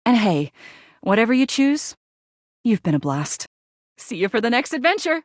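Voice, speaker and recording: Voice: medium pitch, normal speed, dry recording, studio quality, natural voice. Woman doing upbeat chatty dating game character, breezy and casual, voice bouncing all over. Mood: playful, friendly. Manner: breezy, informal, expressive. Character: upbeat, chatty, easygoing video game date companion. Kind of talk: video game character dialogue.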